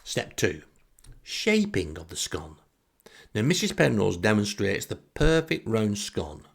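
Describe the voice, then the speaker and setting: A man's voice: lowish voice, sing-song, slow pace, creaky, breathy edge. A man with a Northern English accent telling comic first-person stories in a wry, self-deprecating way with theatrical emphasis. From northern England. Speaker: storyteller and host.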